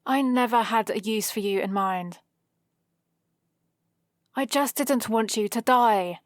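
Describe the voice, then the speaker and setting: A British woman playing a guarded female supervillain who is awkwardly soft on her hero, in a hesitant, defensive ASMR roleplay style. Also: on the high side, slow, no echo, clean studio sound. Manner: hesitant, defensive, softly exasperated.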